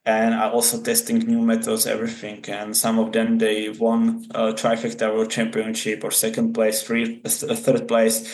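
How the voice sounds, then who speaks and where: A man from the Czech Republic with a Czech accent, a guest athlete and coach answering interview questions in halting English, searching for words. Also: on the low side, completely flat delivery, a little mellow, echoey room, a little hiss or hum.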